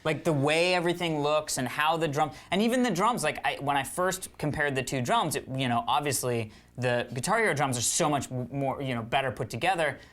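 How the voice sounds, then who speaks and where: A man's voice: a little high, lively intonation, a little fast, few pauses, fairly bright tone. A man reviewing video games in an emphatic, conversational, slangy way on a panel show. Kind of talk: casual review chat.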